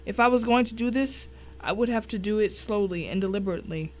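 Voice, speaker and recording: A woman's voice: medium pitch, quick pace, darkish tone, natural voice. A woman playing a tense first-person narrator in a dramatic suspense story. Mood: grim, suspenseful.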